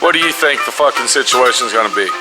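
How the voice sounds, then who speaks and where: A man in his fifties with a raspy voice, ranting and swearing nonstop as he shows work in his auto body garage. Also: medium pitch, lively intonation, quick pace, loud music behind the voice, low-quality recording. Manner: heavy swearing, pissed-off ranting. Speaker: custom auto body shop owner. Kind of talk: angry workshop vlog. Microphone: camera held close at arm's length.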